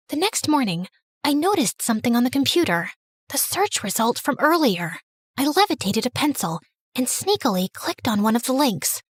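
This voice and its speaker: Girly high woman narrating a cartoon teen story, dramatic, super dry sound. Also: dry recording. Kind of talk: scripted first-person animated story narration as a teenage girl.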